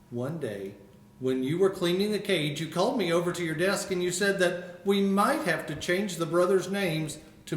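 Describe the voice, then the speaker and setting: An older man, a school principal, reading a picture book aloud with expressive storytelling. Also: a little high, far from the mic. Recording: roomy echo.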